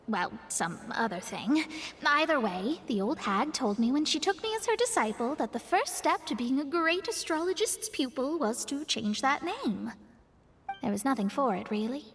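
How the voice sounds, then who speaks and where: A woman's voice: high-pitched, expressive, normal speed, long reverb tail, slight background noise. A woman voicing a proud young female astrologist in a studio game, composed and a little haughty as she explains things. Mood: explaining.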